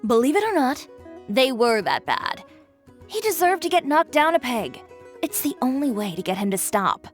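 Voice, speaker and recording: A woman's voice: sits high, very animated, normal speed, soft background music. A woman playing a blunt, composed young businesswoman in a video game, wry and matter-of-fact. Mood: cool, teasing. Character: cool, self-assured, blunt young businesswoman, protective of friends. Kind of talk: video game character acting.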